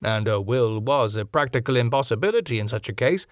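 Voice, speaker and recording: A man's voice: medium pitch, lively intonation, a little fast, no echo, studio quality, lightly acted. A man voicing a genial, self-satisfied solicitor in an audiobook, with a formal, wordy, officious manner. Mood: fussy, officious.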